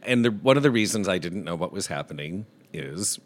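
A man with a gentle, somewhat low voice that doesn't carry far. He co-hosts a relaxed talk show and spins a story with plenty of animation, slipping into little impressions of the characters he describes. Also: fairly quick. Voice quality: soft voice. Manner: animated storyteller, mimics people in anecdotes. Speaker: podcast co-host. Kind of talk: casual storytelling chat.

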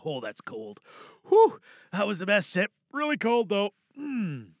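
A man from Canada hosting a podcast in a casual, chatty, animated and excitable way. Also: sits high, unhurried, dry recording, clean studio sound. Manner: chatty, animated, self-interrupting. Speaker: podcast host and amateur voice actor.

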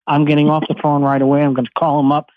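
A man's voice: on the high side, completely flat delivery, fast talker, no echo. A man hosting a radio show, joking and teasing before interviewing his guest. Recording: tinny phone-like dry audio.

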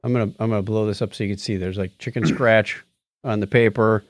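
A man's voice: fairly low pitch, fairly even intonation, fast, no echo. A man hosting a podcast, chatting casually and playfully about tech history. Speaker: podcast host.

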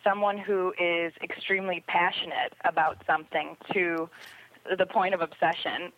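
A woman's voice: fairly low pitch, level tone, normal speed, phone-quality sound, slight background noise. A woman chatting casually as a returning guest on a podcast. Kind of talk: casual chat.

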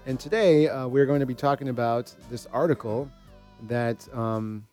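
A man's voice: medium pitch, moderate pace, a little mellow, soft background music. An American man hosting an informal podcast discussion in an easygoing, conversational way. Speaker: podcast host and practicing therapist.

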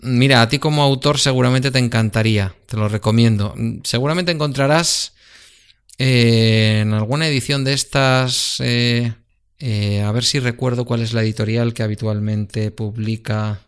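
Middle-aged man, clear resonant voice, hesitant chatter with fillers and restarts. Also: medium pitch, steady tone, normal speed. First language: Spanish. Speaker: guest. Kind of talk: casual conversation about books.

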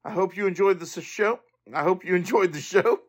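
A man's voice: high-pitched, normal speed. A man hosting a podcast, speaking informally and earnestly in a monologue to listeners. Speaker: podcast host.

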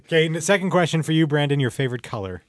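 A man's voice: a little high, lively intonation, rapid-fire, no echo. Playful man hosting a podcast quiz, dry sound. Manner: playful, jokey. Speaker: podcast host running a quiz. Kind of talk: casual comedic banter with guests.

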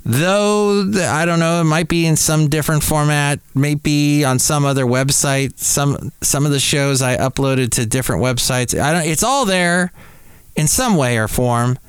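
A man's voice: fairly high pitch, unhurried, clear, resonant tone, no room sound. A middle-aged man hosting a podcast, rambling casually and playfully interrupting himself. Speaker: podcast host. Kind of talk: casual solo podcast commentary.

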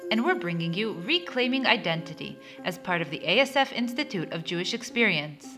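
A woman's voice: fairly low pitch, big pitch swings, a little fast. A woman co-host reading the scripted opening of a podcast expressively. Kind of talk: scripted show intro. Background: music.

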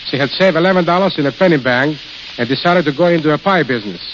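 A man's voice: fairly high pitch, animated, fast, a bit bright. A plain-spoken man being interviewed as a guest on a 1930s radio show, telling anecdotes. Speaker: studio caterer, interview guest. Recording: hissy, crackly dull 1930s radio recording.

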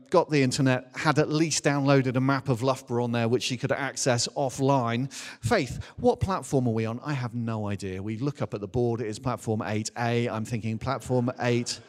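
A middle-aged man preaching as a minister, telling a personal story in a chatty, self-deprecating, animated way. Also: medium pitch. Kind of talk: anecdotal sermon, informal storytelling. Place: large indoor church hall. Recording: reverberant hall, live church talk.

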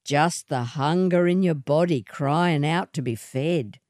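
A woman voicing a gruff, grimy male swagman who talks in a coaxing, teasing way. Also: low voice, takes it slowly, darkish tone, no echo, clean studio sound. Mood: sly, seductive. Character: gruff, sly, seductive swagman, a grimy whiskered vagrant man.

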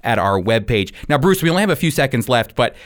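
Man, radio ministry host, medium pitch, encouraging, direct address to listeners, interview and announcement style. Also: very animated, fast.

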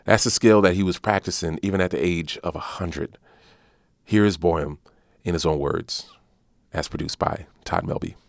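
A man hosting a community radio podcast, reading scripted narration in a measured, announcer-like way. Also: low voice, gravelly, no echo, clean studio sound. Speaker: podcast host.

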